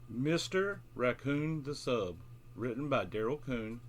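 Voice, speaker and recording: A man with a mid-pitched voice speaks to young children as a bedtime storytelling host. He addresses his listeners directly, in simple storybook language. Some noise sits behind the voice. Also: expressive, slow. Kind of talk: friendly introduction, then read-aloud children's storytelling.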